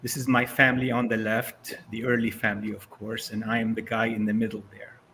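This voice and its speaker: A man's voice: lowish voice, completely flat delivery, brisk, vocal fry, sharp tone, slight background noise, rough recording. Syrian-born man in his sixties, storytelling. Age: 60s. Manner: conversational storytelling with frequent fillers. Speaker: guest speaker. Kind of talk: casual presentation over video call.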